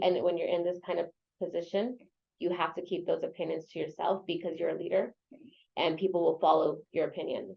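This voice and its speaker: A woman's voice: fairly low pitch, creaky. Earnest woman on a muffled call. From El Paso, Texas. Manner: earnest, repeats herself, many "you know" fillers. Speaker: real estate agent and local association president. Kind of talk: interview guest, casual conversation. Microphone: video or phone call.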